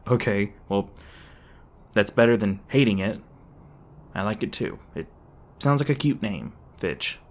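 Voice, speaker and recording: A man acts out an edgy monster hunter in an audio roleplay. His voice sits fairly low, and his talk is sarcastic and brash. Underneath he is protective and soft-hearted, stumbling over words when flustered. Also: measured pace, pauses often, close to an everyday voice. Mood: shy, tender. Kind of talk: ASMR roleplay voice acting.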